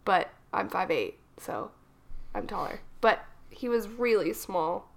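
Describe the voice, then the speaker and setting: A woman's voice: mid-range voice, lively intonation, slow pace, creaky. A young adult woman co-hosting a casual chat podcast, sounding a little froggy from a cold and telling rambling stories. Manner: chatty, rambling storyteller. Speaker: podcast co-host.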